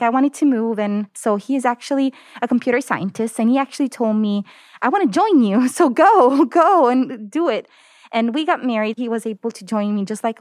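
A woman's voice: fairly high pitch, darkish tone. A woman in her thirties from Italy, speaking with an Italian accent, chatting as an interview guest with lots of "like" and "you know". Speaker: oncologist guest. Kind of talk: casual interview talk.

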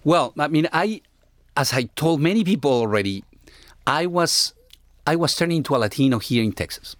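A middle-aged man from Ecuador with an Ecuadorian Spanish accent, speaking reflectively as an interviewee in a halting, searching way. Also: a little high, animated, no room sound. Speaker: interviewee, university academic.

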